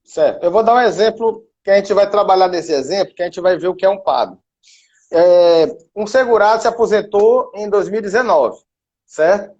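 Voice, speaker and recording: A middle-aged man from Salvador with a softened Bahian accent, a lawyer and professor explaining cases through worked examples in a live stream. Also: sits high, normal speed, muffled recording. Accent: Bahian Brazilian Portuguese, softened. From Salvador, Bahia, Brazil.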